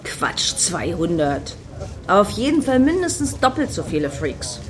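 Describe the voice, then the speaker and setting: A woman's voice: fairly low pitch, big pitch swings, measured pace. An actress playing an impatient, self-assured, defiant woman in a satirical radio play, brusque and assertive.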